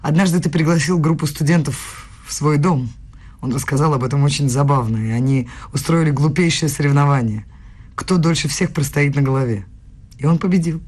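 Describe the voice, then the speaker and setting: A performer in a radio play voicing an earnest young woman scholar in love, with a husky voice and a direct, probing manner. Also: fairly high pitch, brisk, a little mellow.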